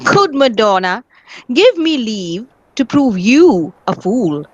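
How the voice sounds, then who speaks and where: A woman's voice: on the high side, big pitch swings, slow pace, muffled recording, a little hiss or hum. A woman playing a witty, teasing male court fool in a dramatic reading of Shakespearean comedy, with a mock-solemn, theatrical manner. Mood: playful, teasing.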